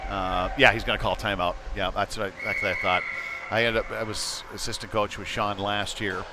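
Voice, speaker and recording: A man's voice: lowish voice, level tone, normal speed. American man calling hockey over rink noise. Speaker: hockey play-by-play commentator. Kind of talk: live sports commentary, casual and off-the-cuff. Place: indoor ice rink. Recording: noisy indoor ice rink.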